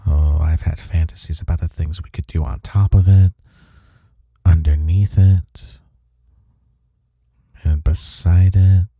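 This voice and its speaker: A man playing a smitten office rival in an intimate romantic audio roleplay, with a husky, mellow voice and a halting, teasing manner. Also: sits low, fairly even intonation, no room sound, clean studio sound, exaggerated voice. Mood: sultry, tender. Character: flustered, smitten male office rival confessing attraction.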